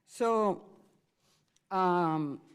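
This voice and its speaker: A woman's voice: fairly low pitch, smooth. Woman questioning a witness, reverberant hall. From Puerto Rico. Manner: measured, with frequent fillers. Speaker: member of Congress questioning a witness. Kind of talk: formal questioning at a hearing. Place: congressional hearing room.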